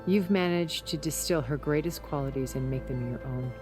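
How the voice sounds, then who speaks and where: An actress playing a poised, calculating middle-aged woman in a video game, speaking in a measured, articulate, self-assured way. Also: low-pitched, over music, close to an everyday voice. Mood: fond, admiring. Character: poised, calculating woman, ageless schemer from space. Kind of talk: video game cutscene acting.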